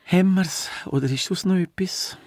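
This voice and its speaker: A man's voice: high-pitched, moderate pace, darkish tone, close to an everyday voice. A man with a Swiss accent voicing an intellectual, pompous, easily annoyed earthworm in a children's radio play. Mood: indignant. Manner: pompous, precise, long-suffering.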